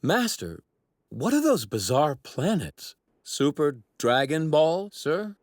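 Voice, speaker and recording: An arrogant young apprentice deity from an anime dub. His phrasing is formal and exact, his politeness edged with righteous menace. The pitch travels widely, and the sound is very dry. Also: big pitch swings, slow, no room sound. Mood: curious. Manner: formal, haughty, precisely worded.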